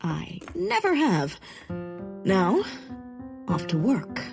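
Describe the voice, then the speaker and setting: A woman's voice: mid-range voice, wildly swooping pitch, drawn-out words, gravelly, big-room sound, music in the background, exaggerated voice. An actress playing a dry, self-serious, dismissive woman poet in a comedic audio drama.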